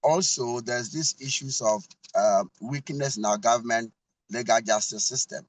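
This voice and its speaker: A man's voice: mid-range voice, moderate pace, slight background noise, low-quality recording. A man giving an earnest prepared talk over a video call, with fillers and repeated words. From Ghana. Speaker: panel speaker.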